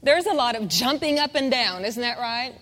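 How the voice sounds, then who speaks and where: A woman's voice: a little high, animated, moderate pace, fairly bright tone. A woman preaching a sermon, calling out for amens and repeating phrases with rising fervor. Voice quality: singer. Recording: long hall reverb.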